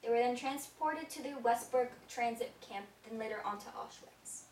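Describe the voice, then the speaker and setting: A woman's voice: a little high, moderate pace, a little mellow. A young woman co-anchoring an amateur mock newscast in a theatrical, scripted style. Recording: echoey room, distant, some noise.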